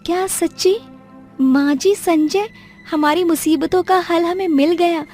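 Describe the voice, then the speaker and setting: A woman's voice: extremely high pitch, a little slow, a little mellow, faint music behind, pitched above the performer's own voice. A woman voicing a cheerful, eager young daughter-in-law who exclaims her lines in a family story. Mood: excited, hopeful.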